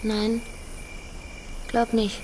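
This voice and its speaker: A woman's voice: on the high side, completely flat delivery, very slow, a little mellow, slight background noise. An actress in a radio drama playing a poor, weary young country woman who speaks in plain, curt, colloquial phrases. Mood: wary, curt. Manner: plain, colloquial, short answers.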